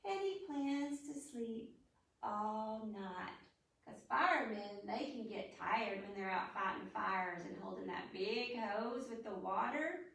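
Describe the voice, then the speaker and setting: A woman's voice: mid-range voice, lively intonation, slow, gravelly, a bit bright. A woman hosting a storytime for young children, explaining things in simple, demonstrative phrases as she talks and reads aloud. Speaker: library storytime host. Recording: echoey room, distant microphone.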